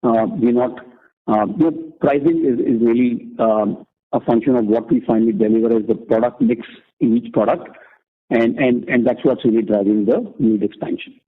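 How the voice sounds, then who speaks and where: A man explaining as an executive on an earnings call, deliberate and halting, over a conference phone line. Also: medium pitch. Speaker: company executive answering analysts.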